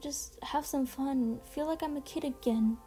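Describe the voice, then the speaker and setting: A woman's voice: high voice, normal speed, mellow. A woman giving casual video commentary as she presents a ranking, gushing and emphatic, with casual swearing. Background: music under the voice.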